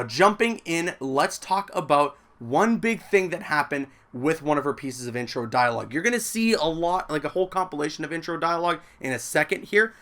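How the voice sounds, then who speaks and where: Male YouTuber, chatty game news commentary, eager delivery, slightly high voice. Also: fairly bright tone. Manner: enthusiastic, chatty. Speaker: gaming YouTuber.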